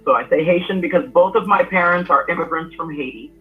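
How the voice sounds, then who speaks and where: A woman's voice: fairly low pitch, fast, soft background music. A woman from Boston calling in to a radio show as a guest, telling her story in an easygoing, conversational way over the phone. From United States (Boston). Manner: conversational, easygoing, with some fillers. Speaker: call-in guest, spiritual practitioner. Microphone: phone call. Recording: tinny phone line.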